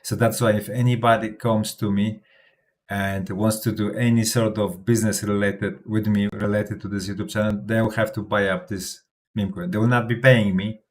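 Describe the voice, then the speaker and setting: Host, male, low-ish voice, confident rambling crypto livestream chat. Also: flat delivery, moderate pace. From Poland. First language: Polish. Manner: rambling, self-assured, laughs often, restarts words. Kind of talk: casual livestream commentary.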